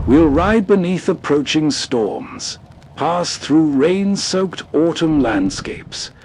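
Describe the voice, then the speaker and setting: A man's voice: on the high side, a little mellow. A man hosting with scripted, atmospheric narration. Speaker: host. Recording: faint background noise.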